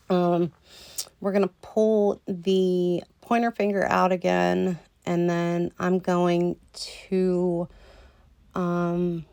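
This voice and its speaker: A woman talking through a nail tutorial in a slow, rambling way with lots of ums, a little hoarse as she loses her voice. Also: on the low side, a little mellow, dry recording. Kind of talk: casual voice-over tutorial.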